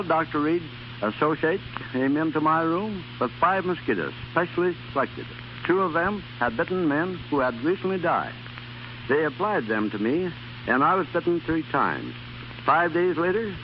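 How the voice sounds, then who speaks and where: A middle-aged man answers as a guest on an old radio program, earnest and a bit stiff. His pitch is slightly high and moves animatedly, over a dull 1930s recording. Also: sing-song, normal speed, a little hiss or hum. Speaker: interview guest, former army private. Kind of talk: scripted 1930s radio interview.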